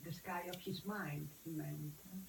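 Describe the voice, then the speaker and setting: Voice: medium pitch, fairly even intonation, normal speed, vocal fry, a little hiss or hum, poor audio. A listener chatting casually and commenting on poems. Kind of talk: casual conversation.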